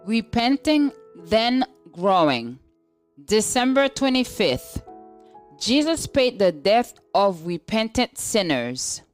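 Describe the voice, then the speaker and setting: A woman's voice: fairly high pitch, sing-song, takes it slowly, soft background music. A woman reading a scripted religious devotional aloud as narration. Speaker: devotional reader.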